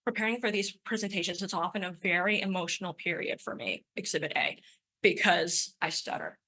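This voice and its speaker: A woman's voice: fairly low pitch, brisk, bright, forceful tone, breathy edge. A woman in her twenties giving a prepared personal talk, speaking with a stutter and occasional sound repetitions in an earnest, emphatic way. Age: 20s. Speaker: conference speaker.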